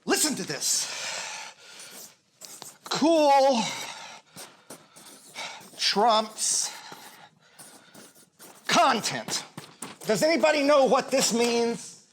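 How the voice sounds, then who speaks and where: A man's voice: very high-pitched, lively intonation, extremely slow, long pauses, a little hiss or hum, heavily acted. A man playing a cocky, belittling acting teacher in a naturalistic comedy, talking in a brash, sarcastic, rambling way. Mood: self-important.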